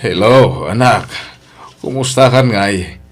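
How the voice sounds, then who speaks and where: A man's voice: lowish voice, lively intonation, slow pace, over music. A man with an Ilocano accent acting a boastful, needling father in an audio drama, speaking in a smug, meddling way. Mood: fatherly, curious. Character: boastful, needling father, proud of his grown child.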